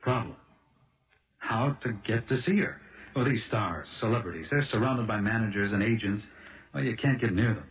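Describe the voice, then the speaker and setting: Man acting impulsive salesman, American accent, lowish voice, earnest stumbling delivery, 1970s radio drama, muffled lo-fi sound. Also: close to an everyday voice. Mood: rueful, eager. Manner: halting, earnest, restarts phrases. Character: impulsive, fast-talking car salesman protesting his innocence. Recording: dull lo-fi old radio broadcast.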